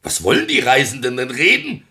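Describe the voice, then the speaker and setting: An actor playing a pompous, self-important elderly small-town mayor in a radio comedy, declaiming and blustering in stuffy officialese. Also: male voice, mid-range voice, wildly swooping pitch, brisk, bright, forceful tone, exaggerated voice. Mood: smug. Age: older. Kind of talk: theatrical radio comedy acting.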